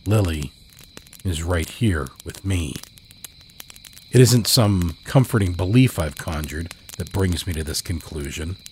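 A man voicing a grieving, haunted narrator of a first-person horror story, somber and measured. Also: deep voice, slight background noise. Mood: mournful, solemn. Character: grieving, haunted man recalling his dead loved one. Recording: cricket ambience behind voice. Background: crickets.

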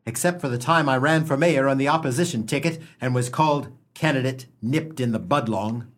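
Radio comedy: actor as level-headed husband, mid-pitched, measured and wry, reining things in. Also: male voice, natural voice. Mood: dry, pleased. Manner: measured, dry, reasoning. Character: sensible, cautious, wryly exasperated husband. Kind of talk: humorous stage-style radio play acting.

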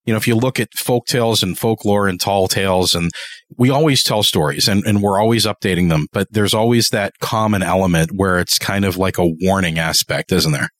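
A man hosting a podcast interview in a casual, slightly halting, appreciative manner. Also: sits low, fairly bright tone, no room sound, clean studio sound.

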